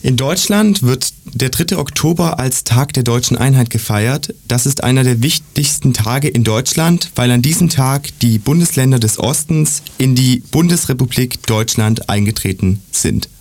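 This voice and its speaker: A man reading a short scripted piece in German in a plain, even way. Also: mid-range voice, moderate pace, hardly any pauses.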